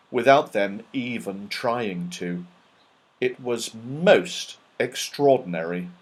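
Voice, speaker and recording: A man reading a children's story aloud as scripted narration, in an expressive, dramatic storytelling manner. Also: medium pitch. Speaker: audiobook reader.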